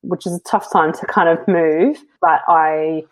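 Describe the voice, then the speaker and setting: Woman, 30s, low voice, rambling and open, lots of fillers. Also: steady tone, measured pace, low-quality recording. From New Zealand. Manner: open, rambling, self-reflective with frequent fillers and soft laughs. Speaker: guest. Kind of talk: casual conversational interview.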